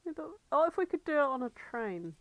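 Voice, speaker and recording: A woman co-hosting a podcast, bantering casually and bluntly, swearing freely and quick to argue. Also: high voice, very animated, fairly bright tone, no room sound, highs cut off. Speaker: podcast co-host. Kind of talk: casual banter about fandom.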